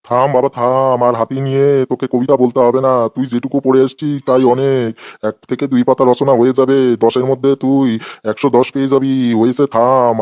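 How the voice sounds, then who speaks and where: A man's voice: medium pitch, a little mellow, dry recording, natural voice. A man voicing a sarcastic, exasperated male schoolteacher who scolds and mocks his pupils in a comedy cartoon. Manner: scolding, mocking, repeats phrases. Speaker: English teacher in a comedy cartoon.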